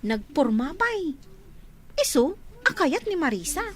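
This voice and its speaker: A woman's voice: high-pitched, very animated, a little slow. A woman playing a teasing, dismissive teenage schoolgirl in a village comedy radio drama.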